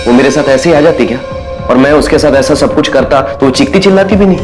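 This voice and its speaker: A man's voice: mid-range voice, quick pace, natural voice. An actor playing a defensive, street-wise small-time crook protesting his innocence in a TV crime drama. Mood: indignant, pleading. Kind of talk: Hindi TV crime drama acting. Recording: loud dramatic music behind.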